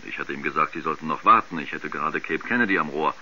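An actor playing an irreverent mission radio operator, answering bluntly and colloquially in an old radio play. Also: male voice, extremely low pitch, fast talker, bright, forceful tone, exaggerated voice. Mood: blunt, matter-of-fact. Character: plain-spoken, irreverent male mission radio operator being interviewed. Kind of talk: 1970s German radio drama acting. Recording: dull vintage radio recording, faint background noise.

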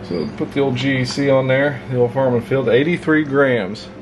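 A man casually reviewing a pocket knife at his home workbench, relaxed and folksy, with plenty of filler words. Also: medium pitch, moderate pace. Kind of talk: casual hands-on product review. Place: home workshop desk, indoors. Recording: clear hum of room noise.

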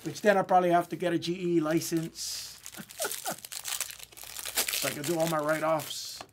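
A man's voice: on the high side, fairly even intonation, a little slow, background noise. A man with a Hawaiian accent chatting casually as a livestream host. He rambles, repeats his words and laughs often. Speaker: livestream host of card breaks.

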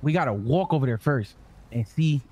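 A man's voice: medium pitch, expressive, normal speed, very noisy. An American man in casual gaming banter, talking urgently and insistently in profane slang. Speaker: role-play gamer.

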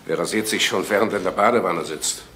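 An actor playing an impatient, insistent grown son in a radio crime drama. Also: male voice, low-pitched, fast, pushed and bright, a little hiss or hum.

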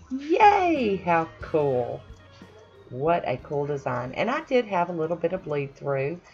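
Very low-voiced chatty woman. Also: a little mellow, lo-fi sound. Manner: chatty, self-deprecating, friendly asides. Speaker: nail art vlogger. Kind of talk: casual voice-over narration. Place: home manicure station, voice-over.

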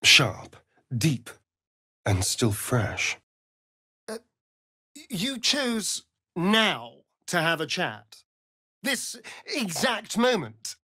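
Theatrical, sardonic vampire rogue, wildly swooping intonation. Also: male voice, high voice, wildly swooping pitch, extremely slow, long pauses, sharp tone, breathy edge, no room sound, studio quality, exaggerated voice. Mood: wry. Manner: arch, drawling, theatrical. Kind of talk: video game character acting.

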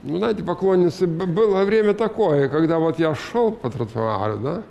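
An older man being interviewed as a guest actor on a studio talk show, speaking haltingly with many filler sounds. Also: a little high, animated, gravelly, darkish tone, big-room sound. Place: TV studio with audience. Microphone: headset mic.

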